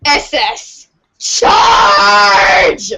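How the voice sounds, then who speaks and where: A woman's voice: medium pitch, big pitch swings, extremely slow, bright, forceful tone, raised voice, low-quality recording. A woman reading a comic aloud theatrically, with a playful, dramatic delivery. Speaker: podcast reader of a webcomic.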